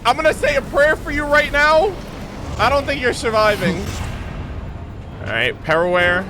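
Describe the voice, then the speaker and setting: A man doing excited live gameplay commentary for his chat, exclaiming and swearing often in gamer slang. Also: extremely high pitch, moderate pace. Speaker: game streamer talking to chat. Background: video game music and combat sounds.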